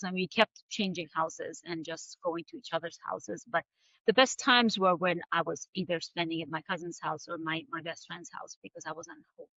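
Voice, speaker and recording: A woman's voice: medium pitch, pushed and bright, no echo, muffled recording. A woman in her forties, a native Farsi speaker, earnestly recounting her past as a podcast guest, with many fillers and restarts. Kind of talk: personal storytelling in a podcast interview.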